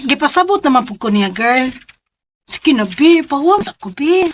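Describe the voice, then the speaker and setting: A woman's voice: sits high, very animated, moderate pace. An actress playing a trusting, desperate young female job seeker in a radio drama, with a Cebuano accent. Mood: trusting, eager. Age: young adult. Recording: music bed behind.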